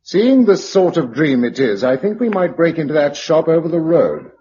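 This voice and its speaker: A slightly noisy, lo-fi radio drama recording of a level-headed Englishman. His English voice moves widely in pitch while staying brisk, protective and grimly matter-of-fact. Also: big pitch swings, mellow. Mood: practical. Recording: dull lo-fi radio recording, faint noise.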